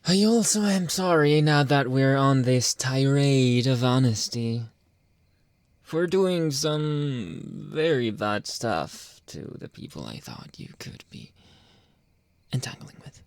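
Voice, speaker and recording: A man playing a jealous, controlling young boyfriend in an intimate ASMR roleplay, halting and pleading, often dropping toward a whisper. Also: mid-range voice, sing-song, no room sound. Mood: sheepish, evasive. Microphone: close, dry microphone.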